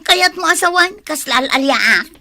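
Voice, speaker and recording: A woman's voice: very high voice, animated, measured pace, sharp tone, dry recording, exaggerated voice. A woman with an Ilocano accent playing a vain, sassy, newly glamorous wife in a radio comedy, teasing and boastful. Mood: teasing rebuke.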